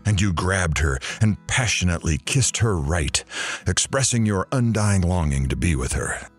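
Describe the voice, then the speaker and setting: An actor playing a flippant, nosy radio talk show host with a theatrical, teasing delivery. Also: male voice, low voice, animated, moderate pace, husky, faint music behind. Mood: mock romantic. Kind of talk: radio host patter in an audio drama.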